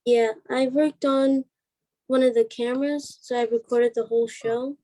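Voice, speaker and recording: Soft-toned, high-voiced young woman, close and dry. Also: fairly even intonation, normal speed, no echo, rough recording. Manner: hesitant, trailing off. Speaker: interview guest, stage play cast member. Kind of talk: casual chat.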